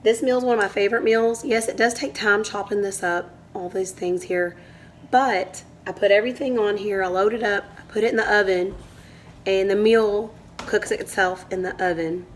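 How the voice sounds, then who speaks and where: A woman with a hoarse voice from a cold, casually walking through a home cooking recipe step by step in her kitchen as the channel's host. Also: mid-range voice, fairly even intonation, moderate pace, a little hiss or hum. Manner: chatty, explains step by step.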